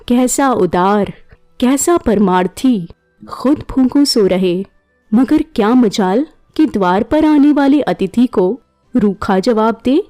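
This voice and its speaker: A woman narrating a classic short story in a measured, dramatic storytelling manner. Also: medium pitch, warm tone. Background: faint background music.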